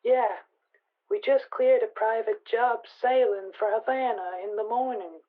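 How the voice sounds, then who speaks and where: A muffled telephone voice of a laconic customs officer , acted by a woman. The delivery is very slow, terse and matter-of-fact, in vintage radio-play style. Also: fairly high pitch, steady tone. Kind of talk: 1940s detective radio play acting.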